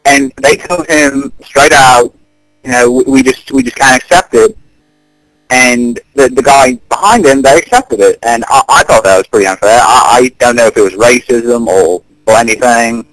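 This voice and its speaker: A man playing an earnest, concerned British neighbour on a prank phone call, speaking haltingly and repeating his words. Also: medium pitch, a bit bright, no room sound, close to an everyday voice.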